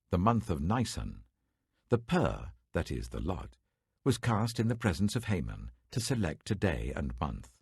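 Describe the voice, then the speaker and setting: A man reading scripture aloud in a measured, dramatic manner, close to the mic. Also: low voice, breathy edge, no room sound. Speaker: Bible reader.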